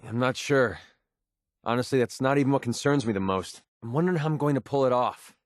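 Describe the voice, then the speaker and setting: Casting: man as young American gun-for-hire for a video game, guarded and sarcastic, mid-pitched voice, dry close recording. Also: a little fast, no echo, close to an everyday voice. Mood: subdued, sincere. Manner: sarcastic, casual, streetwise. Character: young cynical mercenary sniper, wry and guarded. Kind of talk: video game character dialogue.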